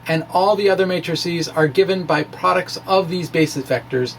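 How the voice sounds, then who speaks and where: A man reading his own technical blog post aloud informally, in a halting stop-and-start way with playful, wry asides. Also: fairly high pitch, vocal fry, slight background noise, rough recording.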